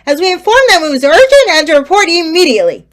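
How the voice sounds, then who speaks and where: Radio-play police inspector, matter-of-fact and in charge, played by a woman, pitched very high. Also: sing-song, fast, fairly bright tone, exaggerated voice. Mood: briefing. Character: brisk police inspector, confident. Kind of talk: community theater radio play.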